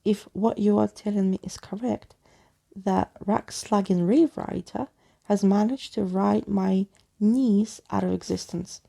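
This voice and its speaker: Woman voicing a pompous, gravelly old storybook skeleton man. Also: medium pitch, steady tone, a little slow, dark tone. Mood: unruffled. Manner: florid, grandiose wording with muddled words. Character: pompous, wordy old male living skeleton, absent-minded and unflappable.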